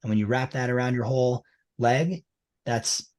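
A man's voice: medium pitch, moderate pace, darkish tone. A man with a mid-range voice, explaining things keenly and at length. He talks casually and fills his sentences with "you know" and "like". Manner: enthusiastic, explanatory, many fillers. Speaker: ski boot liner maker representative. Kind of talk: casual interview chat.

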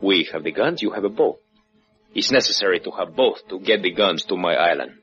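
Deep, courtly Spanish-accented villain. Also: male voice, expressive. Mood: quietly menacing. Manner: courtly, unhurried, faintly amused menace. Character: suave, menacing Cuban-based revolutionary gunrunner, falsely courteous. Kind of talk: 1950s radio adventure acting. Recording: dull 1950s radio recording, music behind.

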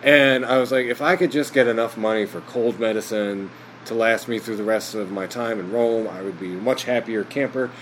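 A man chatting as a podcast guest, rambling with lots of fillers and quick laughs. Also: on the low side, fairly even intonation, a bit bright, a little hiss or hum, rough recording. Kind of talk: casual chat.

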